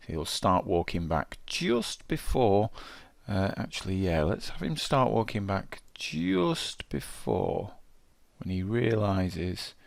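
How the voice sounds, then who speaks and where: Male tutorial presenter, very low register, dry close sound, informal and thinking aloud. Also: vocal fry, no echo. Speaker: game development tutorial presenter. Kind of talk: casual screencast walkthrough, thinking aloud.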